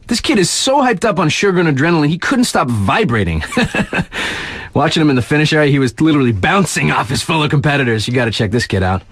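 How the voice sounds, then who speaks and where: Hip radio DJ, man, animated pitch swings, slangy sports patter, cool showman, video game, dry close sound. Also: dry recording. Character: hip, hyped male radio DJ covering snowboard competitions.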